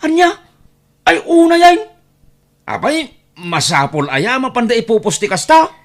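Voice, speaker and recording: A woman playing a put-upon, quietly resentful young relative who works as the family driver, acting in an Ilocano audio drama with an Ilocano accent. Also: fairly high pitch, big pitch swings, unhurried. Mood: indignant.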